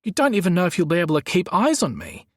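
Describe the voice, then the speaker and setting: A man voicing a flamboyant, cheeky younger man with an edge of nerves, in animated audiobook dialogue. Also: medium pitch, fairly quick, dry recording, studio quality, voice pushed up. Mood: urgent, protesting.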